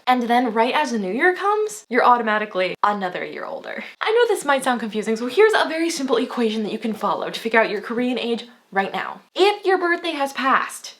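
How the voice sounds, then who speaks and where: A woman's voice: on the high side, fairly bright tone. A woman, giving a chatty, upbeat video language lesson and laughing often. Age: 20s. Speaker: language teacher.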